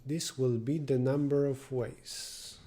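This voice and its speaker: A man with a mellow, darker tone talks through programming problems on a live stream, thinking aloud as he goes. He breaks off now and then to answer chat messages. At times he sinks into quiet muttering while he works out the details. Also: mid-range voice, fairly even intonation, slow, slight background noise.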